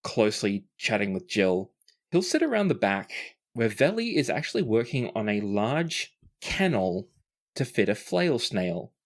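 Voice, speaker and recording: Guy game master narrating a tabletop rpg, kinda low voice. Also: big pitch swings, measured pace.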